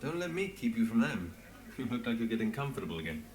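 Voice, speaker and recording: An actor playing a guarded, world-weary leader in a video game, speaking in a restrained, dry way. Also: male voice, medium pitch, big pitch swings, fast, darkish tone, roomy sound, lightly acted. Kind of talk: dramatic video game voice acting.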